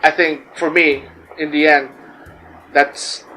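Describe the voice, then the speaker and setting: A man speaking in a relaxed, philosophical way in a personal interview. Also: fairly high pitch, slow, faint music behind, poor audio.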